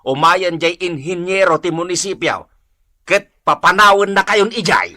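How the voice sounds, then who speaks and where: A man's voice: high voice, lively intonation, moderate pace, pushed and bright. A man playing an exasperated, scolding barangay captain in an Ilocano comedy radio drama, with impatient, emphatic delivery. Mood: stern.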